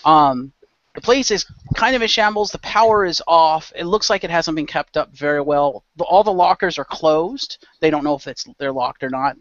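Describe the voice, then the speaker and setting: A man's voice: on the high side, moderate pace, fairly bright tone, lo-fi sound. A man acting as game master, narrating a role play in a casual, improvised and slightly sweary way. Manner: informal, mildly profane.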